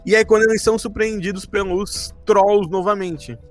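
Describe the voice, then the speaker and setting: A man's voice: high voice, fairly even intonation, moderate pace, faint music behind. A Brazilian man casually recapping a game campaign among friends, in an informal, rambling storytelling way. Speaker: podcast co-host and game master recapping a tabletop campaign.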